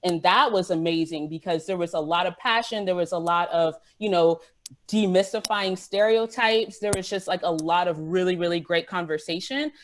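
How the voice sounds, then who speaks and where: A woman's voice: lowish voice, normal speed. A woman speaking as a guest podcaster on a panel, talking in an enthusiastic, emphatic way and often checking in with "right?". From West African immigrant family. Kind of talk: lively panel talk about events. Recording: clear noise with clicking sounds.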